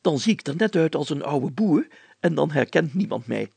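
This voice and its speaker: A man voicing an excitable, stubborn, self-satisfied small-town mayor with a blustery manner in a story. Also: on the high side, normal speed, vocal fry, breathy edge, no echo, pitched above the performer's own voice, full character voice. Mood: excited, scheming.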